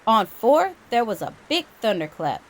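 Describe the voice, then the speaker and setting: A woman reading a children's story aloud with expressive, dramatic emphasis. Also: on the high side, moderate pace. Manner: animated, dramatic emphasis for young listeners.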